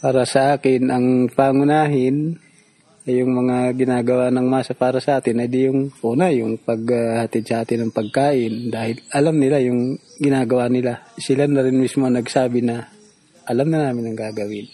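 A man gives a testimonial in a dark, husky voice. His pitch sits in the middle range. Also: steady tone, moderate pace. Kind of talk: spoken testimonial.

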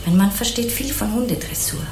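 An actress playing a kindly, naive, chatty housewife in an obliging, rambling way in a German crime radio play. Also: female voice, mid-range voice, a little fast, darkish tone, roomy sound, soft background music, lightly acted. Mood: chatty, helpful. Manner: obliging, artless, rambling, sympathetic.

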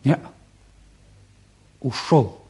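An actor playing a restless, cheeky and candid teenage son in a 1950s Soviet radio play, talking in an impulsive, colloquial way. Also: male voice, very slow, mellow. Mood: wry, confessional.

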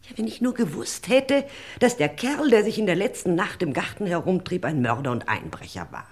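A woman's voice: lowish voice, very animated, brisk, slightly rough, heavily acted. A woman playing a fussy, self-righteous elderly lady in a radio crime play, stilted, dramatic and long-winded. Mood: indignant, lamenting. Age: older. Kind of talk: 1950s-80s German radio crime play acting.

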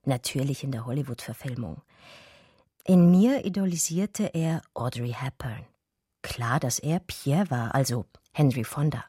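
Radio drama lead: reflective German woman, low mellow voice, literary and intimate, close dry sound. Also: a little slow, breathy edge, dry recording, exaggerated voice. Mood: wistful remembering. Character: wistful German woman art historian remembering her lost Russian lover. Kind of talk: radio drama first-person narration.

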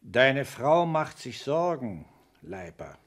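An actor playing a frank, resigned family doctor, blunt and emphatic, in a 1960s radio play. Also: male voice, mid-range voice, very animated, takes it slowly. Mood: frank, warning. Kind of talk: naturalistic 1960s German radio play acting.

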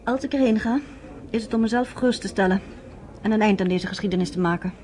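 An actress playing a proud, curious American nurse in a radio drama, talking firmly and with challenge. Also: female voice, medium pitch, fast talker, darkish tone, slight background noise, close to an everyday voice.

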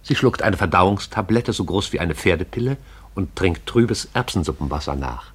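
An actor as the narrator of a poetic radio drama, telling it in a lyrical, wry storytelling manner. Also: male voice, low voice, expressive, moderate pace, husky.

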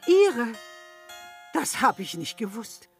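Bright-mannered, animated saucy maidservant. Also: female voice, fairly high pitch, takes it slowly, faint music behind, exaggerated voice. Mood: pert, defensive. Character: pert, outspoken young maidservant. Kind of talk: German radio play acting.

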